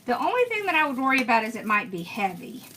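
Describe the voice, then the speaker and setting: Woman hosting a crafting livestream from home, kinda high and bright, laughs a lot, talks to the chat. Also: sing-song, fast, slight background noise. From born in Pennsylvania, raised in Georgia. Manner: chatty, answers viewer comments, laughs often. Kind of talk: casual live-stream chat while crafting.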